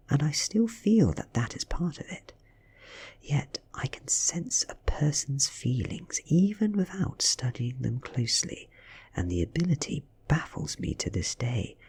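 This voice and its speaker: A man with a British accent reading a story aloud in a soft, hushed ASMR style, breathy and often dropping into a whisper. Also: medium pitch. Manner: hushed, unhurried, dramatic storytelling. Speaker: audiobook-style reader.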